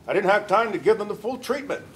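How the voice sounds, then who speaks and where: A man's voice: high voice, lively intonation, quick pace, fairly bright tone. A man playing an earnest, puzzled medical investigator in a sci-fi comedy table read, recorded from a distance. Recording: distant mic, room noise.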